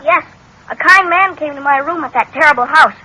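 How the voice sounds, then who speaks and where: Woman as a high-voiced, polite little boy. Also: fairly quick, sharp tone. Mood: plaintive, earnest. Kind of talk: 1930s radio drama acting. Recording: noisy, hissy old radio recording.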